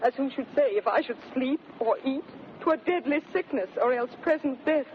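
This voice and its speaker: An actress playing a proud, sharp-tongued wife in 1930s radio Shakespeare, theatrical and emphatic, with a British accent. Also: female voice, high voice, moderate pace, lightly acted. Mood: pleading. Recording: muffled, noisy vintage 1930s radio broadcast.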